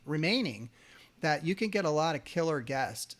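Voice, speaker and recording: A man with a fairly high voice hosts a podcast interview. He talks in a casual, enthusiastic way and shares his own experience with his guest. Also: animated, normal speed, crowd noise. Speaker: podcast host.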